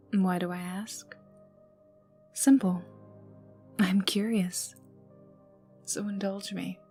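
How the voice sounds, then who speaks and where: A woman playing a menacing, teasing young enforcer for a crime boss in an ASMR roleplay, taunting the listener in a breathy voice that dips toward whispers. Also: medium pitch, fairly even intonation, very slow, pauses often, darkish tone. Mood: curious, teasing. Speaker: voice actor in audio roleplay. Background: ambient music and mild sound effects.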